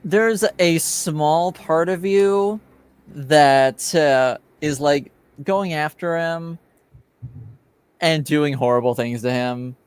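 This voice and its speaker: A woman improvising narration as a tabletop game master, halting and pausing between phrases. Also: low-pitched, a little mellow, smooth, no echo, faint music behind.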